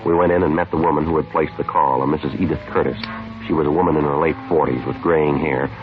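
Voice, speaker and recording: An American man acting as a terse, stoic, courteous police detective, deadpan and clipped, in a 1950s radio drama. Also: rumbling low, fast talker, faint music behind. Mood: matter-of-fact. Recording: dull, hissy vintage radio recording.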